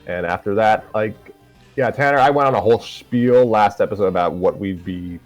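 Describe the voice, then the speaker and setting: A man's voice: lowish voice, a little fast. A man hosting a casual show, speaking with a dry, mildly exasperated manner. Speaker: host of a fan fiction reading show. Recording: music playing behind the voice.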